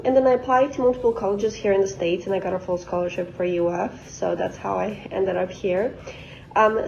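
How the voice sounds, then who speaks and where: A woman's voice: mid-range voice, dark tone, slight background noise. A woman in her twenties from Bosnia, talking informally and explaining her work as a guest speaker. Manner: conversational, explanatory. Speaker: guest speaker, quality engineer. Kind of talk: informal career talk. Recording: dull, lo-fi sound.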